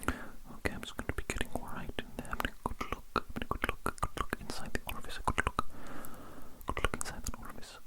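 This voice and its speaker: An ASMR performer whispering without voice as a polite, curious, fussy doctor, repeating phrases in a murmuring roleplay. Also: fast. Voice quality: breathy unvoiced whisper. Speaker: ASMR performer role-playing a doctor examining a patient.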